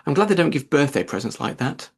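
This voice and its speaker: A man's voice: on the high side, very animated, quick pace, creaky, breathy edge, full character voice. A man voicing a polite, thoughtful young girl in a storybook, speaking earnestly.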